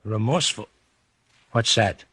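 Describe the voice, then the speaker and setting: An actor in a 1940s radio drama playing a brash, uneducated escaped convict and remorseless killer who talks in rough, cocky slang. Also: male voice, on the low side, unhurried, sharp tone, no room sound, heavily acted. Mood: cocky, callous.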